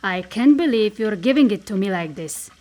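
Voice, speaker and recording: A woman's voice: medium pitch, lively intonation, moderate pace, darkish tone, lightly acted. An actress playing a bold, teasing, dangerous female forest spirit in an audio drama. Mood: incredulous.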